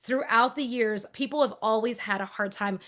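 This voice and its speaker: A woman's voice: a little high, normal speed, fairly bright tone. A woman in her forties, raised in Texas, who speaks without a regional accent. She co-hosts a podcast and tells her own story in a thoughtful, earnest conversational way. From Texas, USA. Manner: earnest, thoughtful, with restarts and brief laughs. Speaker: podcast co-host.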